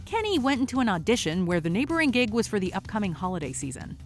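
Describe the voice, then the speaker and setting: A woman's voice: mid-range voice, very animated, fast talker, faint music behind. A woman reading scripted, upbeat narration as the host of a countdown list.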